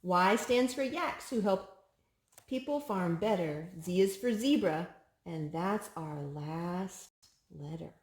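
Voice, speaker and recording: A woman hosting a children's storytime, reading picture books aloud in an expressive, sing-song way to a small child. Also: fairly low pitch, slow, roomy sound.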